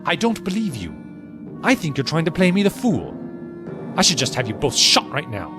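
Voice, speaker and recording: Man acting Victorian naval officer, blustering, accusatory, lively intonation, music bed, audio drama. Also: fairly high pitch, moderate pace. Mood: threatening. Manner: stern, accusatory. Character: suspicious, blustering 19th-century English naval officer.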